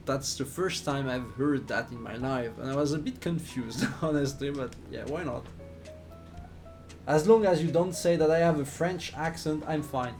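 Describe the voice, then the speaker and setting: Slow-talking man with a Swiss accent. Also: on the high side, darkish tone. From Switzerland. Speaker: flight simulator streamer. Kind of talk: casual live stream chat while playing.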